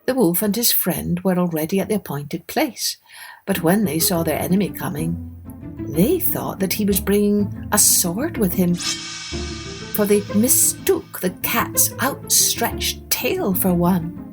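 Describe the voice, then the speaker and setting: A woman's voice: medium pitch, expressive, takes it slowly. A woman narrating a scripted children's fairytale expressively, as the host of a storytelling podcast. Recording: music under the voice.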